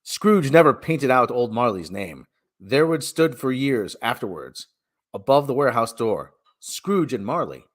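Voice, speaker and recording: A man giving a dramatic reading of a story, with theatrical, deliberate and emphatic phrasing. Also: medium pitch, very animated. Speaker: reader and streamer.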